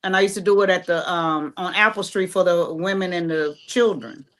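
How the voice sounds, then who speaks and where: A woman guest on a podcast from Ohio, talking in a rambling, halting way with many fillers. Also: on the low side, a bit bright. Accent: American English, Ohio. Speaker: guest, playwright. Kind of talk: casual interview talk.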